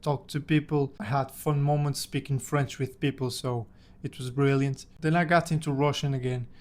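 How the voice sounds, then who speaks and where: Man in his twenties, native Portuguese speaker, mid-pitched voice, fairly flat intonation, casual vlog storytelling. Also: moderate pace. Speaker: language-learning content creator. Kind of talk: casual personal storytelling to camera.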